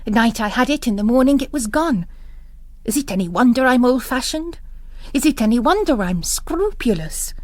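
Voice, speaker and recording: A woman's voice: fairly high pitch, animated, normal speed, dry recording, studio quality, natural voice. A woman voicing an agitated, world-weary ageing priest who has lost his faith, speaking in rhetorical bursts in a literary radio reading. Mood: agitated confiding.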